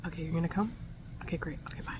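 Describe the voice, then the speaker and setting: A woman whispering breathily in a soft, soothing, halting way as she improvises an ASMR roleplay as a gentle scientist. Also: on the low side, noisy background. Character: gentle scientist tending a robot. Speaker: ASMR performer.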